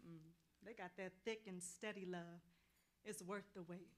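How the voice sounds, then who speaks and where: A woman's voice: medium pitch, fairly even intonation, moderate pace, lightly acted. A woman playing a commanding, devout folk healer with colloquial talk in a staged play reading. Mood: calm, intimate.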